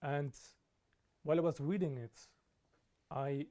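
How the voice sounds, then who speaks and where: Emphatic man with a warm voice. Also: on the high side, unhurried. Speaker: student. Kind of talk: interview answers.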